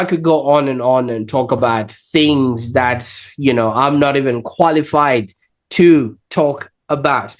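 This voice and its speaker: A man hosting a podcast, introducing his guest with enthusiasm and an uneven pace. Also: medium pitch. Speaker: podcast host.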